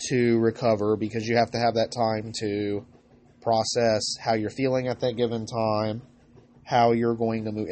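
A man hosting a podcast with informal advice, congested from a cold, speaking in a halting, rambling way and often correcting himself. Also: mid-range voice, a little mellow, a little hiss or hum. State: recovering from a cold. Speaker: podcast host.

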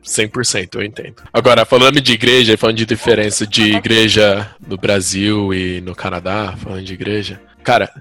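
Bright-voiced São Paulo man chatting. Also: fairly low pitch, a little fast, hardly any pauses, soft background music. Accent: São Paulo Brazilian Portuguese. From Brazil. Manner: animated storyteller, acts out quotes. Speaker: podcast participant. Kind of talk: casual group chat.

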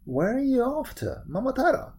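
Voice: high-pitched, very animated, moderate pace, warm tone, voice pushed up. A storyteller voicing an eager, cheeky monkey in a storybook tale, inquisitive and exclamatory. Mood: eager, curious. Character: eager, cheeky chattering monkey wanting dumplings. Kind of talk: animated storybook character voice.

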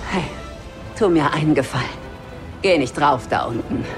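An actress playing a firm, measured veteran mentor giving orders in a sci-fi audio drama. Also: female voice, low voice, animated. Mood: concerned. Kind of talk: German audio drama of a sci-fi video game. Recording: music behind the voice.

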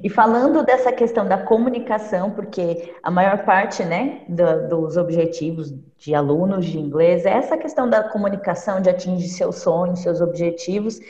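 A woman's voice: lowish voice, normal speed, hardly any pauses, warm tone, muffled recording. Agreeable woman interviewer, hall echo. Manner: agreeable, frequent short affirmations. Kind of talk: casual interview.

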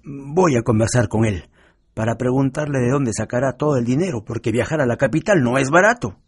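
Man as husky, concerned Peruvian husband. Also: mid-range voice, a little fast, dry recording, clean studio sound, natural voice. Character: concerned, reasonable middle-aged husband. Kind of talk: radio drama acting.

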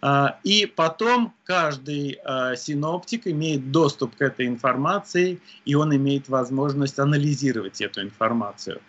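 A man answering interview questions about weather observation, speaking in a measured way with frequent hesitations. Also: mid-range voice, fairly bright tone, highs cut off. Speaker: meteorologist guest.

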